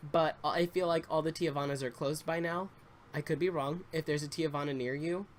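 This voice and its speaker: A man in his twenties chatting casually, a bit congested and slightly raspy, rambling with fillers and restarts. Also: a little high, fairly bright tone. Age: 20s. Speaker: Sims YouTuber. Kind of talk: casual story time chat.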